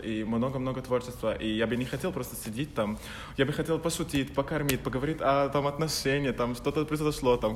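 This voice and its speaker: A man with an Arabic accent, speaking a learned language with loose grammar, rattles along quickly at a middle pitch. He laughs easily and talks in a casual, animated way. The recording sits in a reverberant room with faint noise underneath. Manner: fast, animated, laughs often, imperfect grammar. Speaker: fashion photographer. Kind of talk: casual interview chat.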